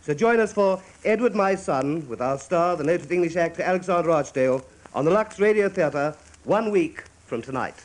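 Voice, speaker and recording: A man's voice: on the high side, animated, normal speed, hardly any pauses. A man presenting formally as producer-director of a 1950s radio theatre show. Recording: vintage radio broadcast with audience noise.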